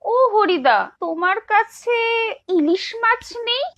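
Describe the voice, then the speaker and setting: A woman's voice: very high-pitched, expressive, slow, fairly bright tone, dull audio, exaggerated voice. A woman voicing an eager, anxious young woman in a children's cartoon, pleading and insistent. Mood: anxious inquiring. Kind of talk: exaggerated children's cartoon acting.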